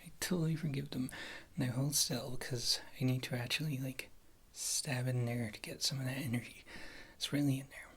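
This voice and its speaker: A woman playing a wry witch healer in an ASMR fantasy roleplay, speaking softly and often slipping into a whisper, in a rambling, casual, reassuring way. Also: very deep voice, fairly even intonation, normal speed, breathy edge, exaggerated voice. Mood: soothing, focused. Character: wry, thrifty witch healer, a former adventurer.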